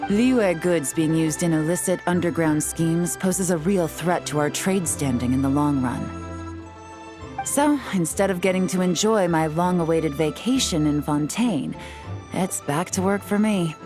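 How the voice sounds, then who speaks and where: Game role: a cool, unhurried woman agent with a lower voice, played by a woman. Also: close to an everyday voice. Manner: calm, measured, dryly explanatory. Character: cool, composed female intelligence agent. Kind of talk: video game voice acting. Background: soft game music.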